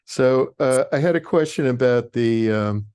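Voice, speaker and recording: A man's voice: mid-range voice, darkish tone, clean tone. Hesitant man asking questions. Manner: hesitant, full of pauses and restarts. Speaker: audience member asking questions. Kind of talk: halting questions on a call.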